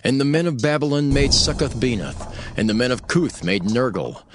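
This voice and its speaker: A man's voice: medium pitch, expressive, moderate pace. A man narrating scripture as a solemn dramatized story. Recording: music bed under the voice.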